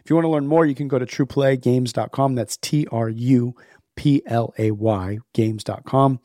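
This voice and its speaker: A man with a hoarse, worn voice from being sick, casually opening a podcast and reading sponsor messages. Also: mid-range voice, steady tone, moderate pace, warm tone, no room sound, clean studio sound. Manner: upbeat, chatty, laughs at himself. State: hoarse, sick, losing his voice. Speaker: podcast host.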